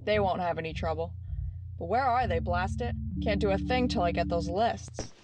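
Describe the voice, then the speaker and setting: An actress playing a determined young female detective in an earnest, crisp old-time radio drama style. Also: on the low side, normal speed, very noisy, natural voice. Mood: brisk, commanding.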